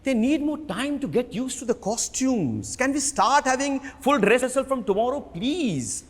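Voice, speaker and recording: Man voicing a fretful woman costume designer, high-pitched. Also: animated, moderate pace. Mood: worried, pleading. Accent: Indian. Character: worried, practical female costume designer. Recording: echoey hall with long reverb.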